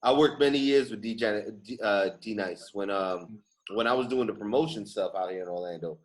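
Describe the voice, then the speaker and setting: Fast-talking male podcast host. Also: lowish voice. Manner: animated street slang, repeats phrases for emphasis. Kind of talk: casual podcast chat.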